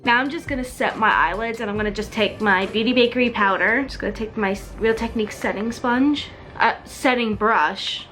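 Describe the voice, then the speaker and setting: Chatty young woman with a bright voice. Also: a little high, a little fast, few pauses, faint music behind. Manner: chatty, upbeat, talks while working. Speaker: beauty vlogger. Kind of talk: casual makeup tutorial. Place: outdoors with wind and traffic.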